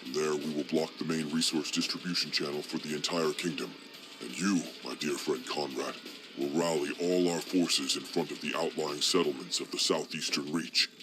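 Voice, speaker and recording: A man voicing a deep-voiced demon warlord. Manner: smug, slow and menacing. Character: scheming male demon warlord, cold and commanding. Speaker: villain leader. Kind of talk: game cutscene voice acting.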